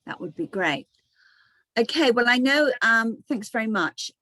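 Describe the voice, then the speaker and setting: A woman's voice: a little high, animated, measured pace, sharp tone, no echo. A woman chairing an online meeting, friendly and hesitant on a video call.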